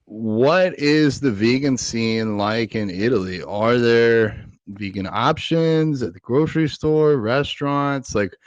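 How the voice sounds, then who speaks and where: A man's voice: medium pitch, takes it slowly, dry recording, highs cut off. A man from the United States hosting a podcast, asking questions and encouraging his guest in casual talk. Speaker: podcast host.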